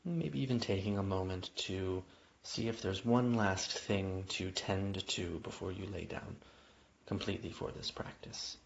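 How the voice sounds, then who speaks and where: Male meditation guide, lower-pitched voice, gentle invitational delivery with lingering pauses, slightly dull lo-fi sound. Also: creaky, a little hiss or hum. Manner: gentle, unhurried phrasing with long pauses, invitational. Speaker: yoga nidra guide. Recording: dull, muffled lo-fi audio.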